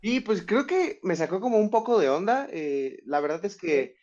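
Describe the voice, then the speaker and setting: A Mexican man answers interview questions in a thoughtful, halting way, often restarting his sentences. His voice sits a little high. The audio is dull and lo-fi, as from a remote link. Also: expressive, a little mellow. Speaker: guest fighter.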